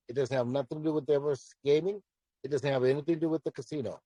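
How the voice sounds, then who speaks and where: A man's voice: medium pitch, warm tone, dry recording, poor audio. A man with a commanding voice, answering questions bluntly and emphatically as a project founder in a live audio Q&A. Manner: blunt, emphatic, repeats himself.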